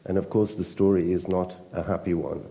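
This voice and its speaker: British man, very dark mellow voice, earnest and emphatic, long hall reverb. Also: deep voice, steady tone, gravelly. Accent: British English. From Britain. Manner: earnest, emphatic, halting with fillers. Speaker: panel speaker, newspaper editor. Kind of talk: conference panel talk. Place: conference hall.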